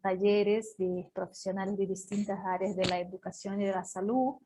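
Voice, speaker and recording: A woman's voice: mid-range voice, fairly even intonation, mellow, a little hiss or hum, rough recording. A woman hosting an online talk. She introduces her guest, often stops and corrects herself, and stumbles over names. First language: Portuguese. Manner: halting, self-correcting over names and words. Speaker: host.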